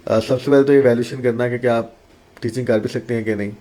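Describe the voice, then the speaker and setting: An earnest male teacher from Pakistan talks through his answers in a podcast interview. He explains things patiently and in detail. He drops English phrases into his speech, and his pitch sits in a typical middle range. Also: steady tone, quick pace, dark tone. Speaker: accounting teacher, guest.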